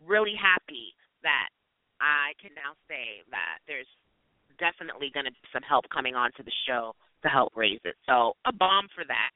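A man's voice: medium pitch, sing-song, normal speed, a little hiss or hum. A man hosting a call-in show, talking in an effusive, slangy way with a lot of swearing. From New York City. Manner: gushing, effusive, slangy and profane. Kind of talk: casual call-in podcast chat. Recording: tinny phone-line audio.

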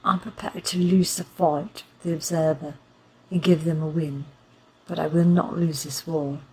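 A woman's voice: sits low, moderate pace, husky, rough recording. A woman playing a resolute elderly grandmother. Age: older. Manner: steady, rallying letter-reading. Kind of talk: audio drama acting.

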